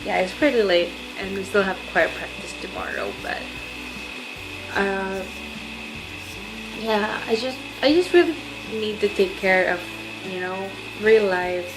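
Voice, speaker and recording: A woman's voice: medium pitch, very animated, unhurried, over music, poor audio. A young woman from the Philippines vlogging to her phone camera late at night, sleepy and rambling with lots of fillers. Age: young adult. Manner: rambling, hesitant with many fillers. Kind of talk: casual webcam vlog.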